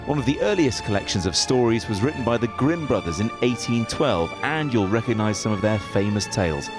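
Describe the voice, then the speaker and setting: A man's voice: fairly low pitch, expressive, fairly quick, music in the background. A British man presenting broadcast news and interviewing in a studio.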